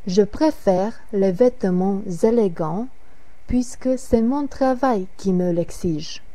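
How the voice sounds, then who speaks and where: A woman reads Marie, an adult woman talking about clothes and colours, in a learners' dialogue. The delivery is very slow and careful. The voice is mellow and dark-toned. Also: mid-range voice, dry recording, close to an everyday voice. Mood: matter-of-fact. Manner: careful, evenly paced reading. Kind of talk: slow scripted language-lesson dialogue.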